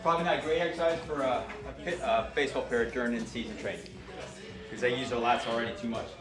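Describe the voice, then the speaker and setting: A man coaching a workout in a large indoor gym, giving quick, instructive cues with a teasing edge. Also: medium pitch, fairly even intonation, over music. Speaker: trainer.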